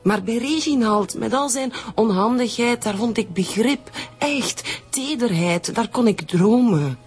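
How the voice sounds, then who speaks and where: A woman playing a cornered, unhappily married woman with a husky voice in a radio drama. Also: a little high, lively intonation, a little slow, faint music behind, heavily acted. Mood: confessional, wistful. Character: cornered married woman confessing a secret love affair. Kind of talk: naturalistic radio drama acting.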